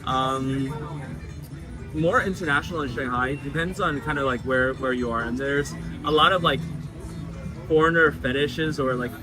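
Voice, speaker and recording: A man from the United States, a guest chatting casually in an interview, relaxed and full of fillers. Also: medium pitch, moderate pace, music in the background, rough recording. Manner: relaxed, frequent fillers and laughs.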